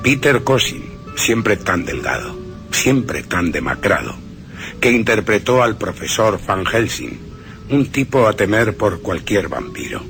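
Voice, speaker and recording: A man's voice: lowish voice, big pitch swings, measured pace, creaky, fairly bright tone, faint music behind. A man presenting a scripted radio narration on film history.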